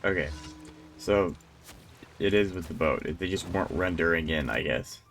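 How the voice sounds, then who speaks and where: A man's voice: deep voice, moderate pace, a bit bright, faint music behind. A man giving casual live gameplay commentary as a streamer, rambling and thinking aloud. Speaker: game streamer.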